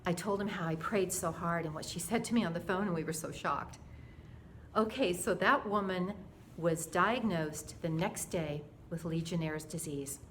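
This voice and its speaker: A mature woman telling a personal faith story in an earnest, dramatic, animated way, acting out the dialogue as she goes. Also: fairly low pitch, normal speed, slight background noise.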